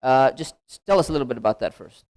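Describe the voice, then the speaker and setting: A man's voice: medium pitch, fast talker, a little mellow. A man moderating a community panel discussion, conversational and probing, sprinkling his speech with Arabic blessings.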